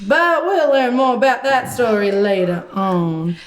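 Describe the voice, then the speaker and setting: Woman playing a slow, folksy puppet prophet man. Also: fairly high pitch, sing-song. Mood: earnest explaining. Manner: folksy, theatrical, drawn-out delivery. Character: folksy puppet John the Baptist, excitable male storyteller. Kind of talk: children's puppet show dialogue.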